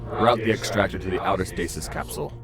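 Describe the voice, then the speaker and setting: A man playing a grave, resolute monster scientist in a comic dub, speaking in formal, measured, clinical phrases. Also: deep voice, animated, creaky. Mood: calm, clinical. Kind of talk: dramatic comic dub acting. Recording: prominent music behind voice.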